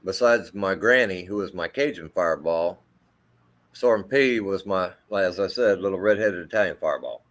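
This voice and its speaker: A man with a deep, low voice that carries, an army veteran in middle age. He tells his story haltingly, circling back with fillers and restarts. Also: a bit bright. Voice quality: deep, carrying voice. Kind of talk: emotional storytelling in interview.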